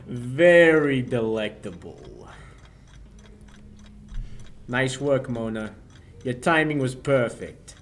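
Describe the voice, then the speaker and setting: Young man playing a cocky, slow-talking 1940s crook. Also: medium pitch, big pitch swings, a little hiss or hum, heavily acted. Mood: smug. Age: young adult. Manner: breezy, sardonic, slangy. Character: cocky, smooth-talking male crook, menacing under breezy slang. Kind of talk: 1940s radio play acting.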